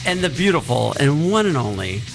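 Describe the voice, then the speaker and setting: A man's voice: medium pitch, sing-song, a little fast, vocal fry, faint music behind. Male radio host, loose and talkative, mid-range voice. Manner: chatty, rambling, self-deprecating. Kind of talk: casual talk radio chat. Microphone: studio mic with headphones.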